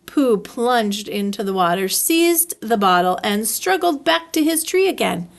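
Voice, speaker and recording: Animated woman reading a children's story. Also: fairly high pitch, a little slow, gravelly. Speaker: library storyteller.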